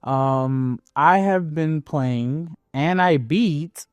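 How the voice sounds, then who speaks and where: A man's voice: a little high, extremely slow, clean tone, dry recording. A man co-hosting a podcast, talking in an easygoing, hesitant way with many fillers and restarts. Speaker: podcast co-host. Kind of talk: casual chat.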